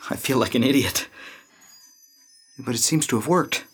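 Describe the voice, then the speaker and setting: An actor in an audio drama playing an earnest young man in his twenties, easily flustered and halting. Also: medium pitch, breathy edge, faint music behind, natural voice. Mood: anxious, tender. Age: 20s. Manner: halting, excitable.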